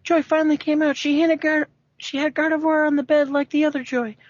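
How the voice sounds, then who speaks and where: A woman's voice: high voice, flat delivery, brisk, no room sound. A woman doing an amateur read-aloud of a story, stumbling over words and sounding amused. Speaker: amateur fanfiction reader. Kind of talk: amateur dramatic reading with casual asides. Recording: dry, muffled home recording.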